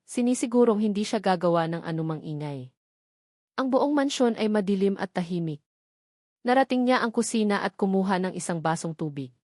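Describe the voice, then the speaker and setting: A woman's voice: mid-range voice, a little mellow, no echo, studio quality. A woman with a Filipino accent narrating a scripted dramatic audiobook story.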